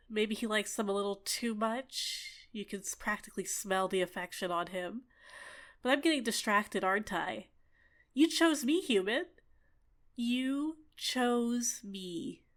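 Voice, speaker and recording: A woman voicing a bubbly, clingy fairy with a sweetly menacing edge in an ASMR roleplay, talking in an excitable, coy, chatty way. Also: mid-range voice. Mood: hurt, bitter, then adoring, possessive. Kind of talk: ASMR roleplay, scripted character acting.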